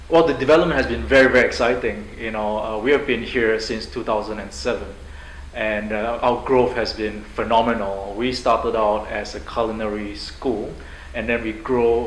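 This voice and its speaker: A man's voice: mid-range voice, fairly bright tone. Guy, university head, upbeat answers full of uh's, echoey room with faint hiss. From Singapore. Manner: upbeat, fluent with frequent uh fillers. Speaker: university president. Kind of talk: interview answers.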